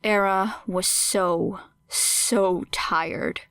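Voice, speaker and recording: A woman reading scripted dramatic fiction as an audiobook narrator, measured and expressive. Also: medium pitch, smooth.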